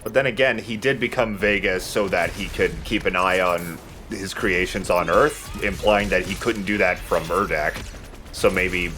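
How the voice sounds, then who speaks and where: A man with a fairly low voice gives casual video game commentary. He explains things informally, feeling his way through phrases, with faint game noise behind him. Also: bright, forceful tone. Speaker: Let's Play host. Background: video game sound.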